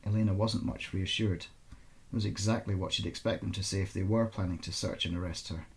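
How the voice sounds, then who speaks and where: A man speaking as an author presenting and reading aloud his own fiction in an informal, expressive storytelling style. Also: sits low, fairly quick. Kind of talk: informal introduction, then expressive audiobook-style narration.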